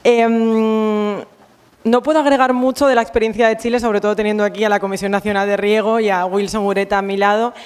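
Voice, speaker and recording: A woman's voice: medium pitch, fairly even intonation, brisk, clean tone. Spanish-accented woman in a reverberant hall. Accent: Spain Spanish. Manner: friendly, chatty, many fillers and restarts. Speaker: invited consultant speaker. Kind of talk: conference talk. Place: conference hall.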